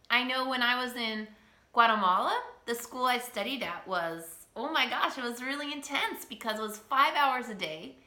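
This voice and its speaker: A woman speaks earnestly as a language coach and presses her main points by repeating them. Her voice sits a little high and sounds bright and forceful. The room around her is echoey. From United States. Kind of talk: direct-to-camera teaching talk.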